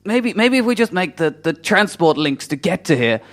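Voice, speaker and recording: A man's voice: sits high, very animated, moderate pace, fairly bright tone. A man playing a scheming, theatrical villain in an improvised comic radio play. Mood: matter-of-fact. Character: scheming male villain plotting world domination, exasperated and theatrical.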